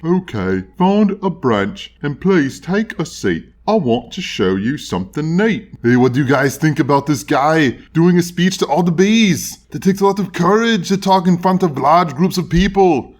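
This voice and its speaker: Guy playing a friendly curious storybook reader, voice a bit high, casual and easygoing. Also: expressive, moderate pace, hardly any pauses. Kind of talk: children's storybook reading.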